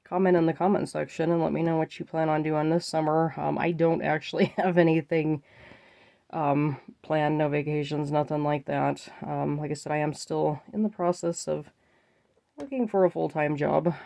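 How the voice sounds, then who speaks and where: Congested woman with a gravelly voice. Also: sits low, fairly even intonation, normal speed, warm tone, no echo. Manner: chatty, often addresses viewers as you guys. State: congested, stuffy nose. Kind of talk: casual chat while crafting.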